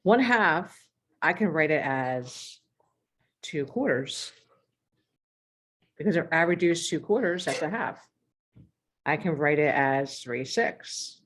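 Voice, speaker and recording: Deep-voiced woman, math teacher, voice strained and going hoarse, explains step by step with throat-clearing. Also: expressive, takes it slowly, pauses often, fairly bright tone. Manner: clears throat often, talks through examples step by step. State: losing her voice. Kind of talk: recorded math lesson.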